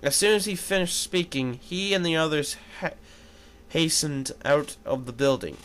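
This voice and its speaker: A man reading fan fiction aloud as an amateur, halting and correcting himself, with casual commentary. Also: on the high side, lively intonation, a bit bright. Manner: halting, stumbles over words and corrects himself.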